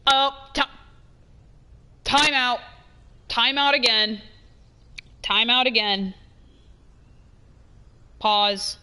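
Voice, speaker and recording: A bright, fairly high-pitched woman gives casual live commentary on a game. She talks like a streamer thinking aloud, repeating phrases for emphasis. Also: extremely slow, long pauses, smooth.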